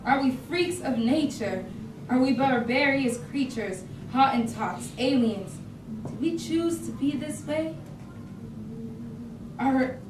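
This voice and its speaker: A woman acting a poetic, searching and defiant character in a staged reading of a play. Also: fairly high pitch. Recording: echoey, distant, noisy live stage recording.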